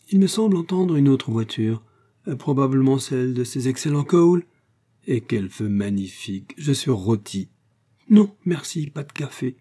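A man reading aloud, voicing a chattering guest at a ball who rambles on with breathless flattery. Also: mid-range voice, voice pushed up, lightly acted.

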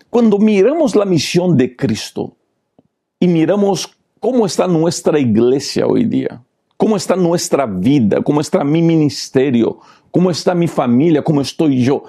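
Gravelly pastor. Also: male voice, a little high, big pitch swings, normal speed, mellow. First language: Portuguese. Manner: preachy, repeated rhetorical questions. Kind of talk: Bible lesson commentary.

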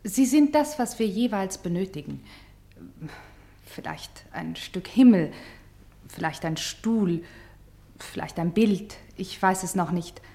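A woman's voice: medium pitch, expressive, creaky, dark tone. Commanding woman leading a play. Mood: insistent. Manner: directing, deliberate, insistent. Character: commanding female play leader summoning people and places to speak. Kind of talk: German radio play acting.

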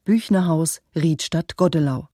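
A woman radio announcer reading scripted credits in a measured, precise manner. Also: low voice. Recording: radio production with music behind. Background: instrumental music.

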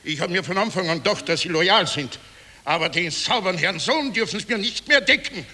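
An actor playing a pompous, sneering Austrian militia captain, barking orders and talking down in a radio play. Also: male voice, high voice, expressive, brisk, sharp tone, heavily acted. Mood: menacing. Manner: barking orders, condescending, sarcastic. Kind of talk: 1960s radio play acting. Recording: noisy, clicking old tape.

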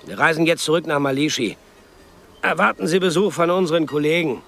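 An actor playing a dismissive militia captain, curt and sarcastic, in a radio drama. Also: male voice, on the high side, animated, fairly quick, vocal fry, fairly bright tone, exaggerated voice.

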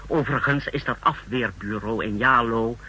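A secret-service lab chief in an old radio spy play. His voice is very bright and tense, slightly husky. He reports with clinical precision and formal deference to rank, on a vintage 1960s recording. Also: medium pitch, normal speed, rough recording. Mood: deferential, careful. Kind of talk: 1960s Dutch radio drama acting.

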